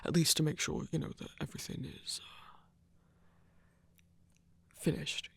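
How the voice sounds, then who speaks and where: A performer playing a flustered, protective hunter who stammers haltingly in a breathy, intimate ASMR roleplay. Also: mid-range voice, steady tone, fairly bright tone, dry recording, heavily acted. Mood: flustered, evasive. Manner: halting, stammering, awkward, sighing.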